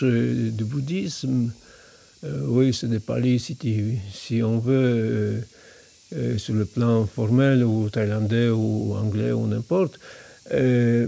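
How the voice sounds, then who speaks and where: A middle-aged man speaking French with a Polish accent, his voice low and mellow with a gravelly edge. He talks like a Buddhist monk reflecting aloud, halting and pausing as he searches for words. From Poland. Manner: halting, thoughtful, many pauses. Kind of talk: reflective spoken explanation.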